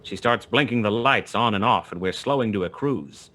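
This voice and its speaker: Noir radio play lead: male actor as terse, sardonic gumshoe narrator with a low voice and streetwise slang. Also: normal speed, a bit bright, a little hiss or hum, close to an everyday voice. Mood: wry narration. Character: wry male hard-boiled private detective, narrating his own case. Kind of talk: 1940s noir radio play acting, first-person narration.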